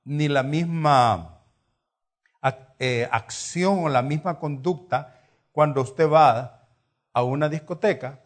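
A man's voice: on the high side, slow pace. A middle-aged man with a Salvadoran accent preaching a conversational sermon, teaching with rhetorical questions and repeated phrases. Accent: Salvadoran Spanish.

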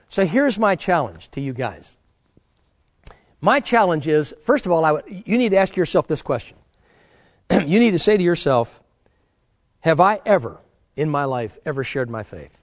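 A man's voice: sits high, no echo, studio quality. A middle-aged man preaching a sermon, earnest and exhorting, often restarting his words as he talks. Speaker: pastor.

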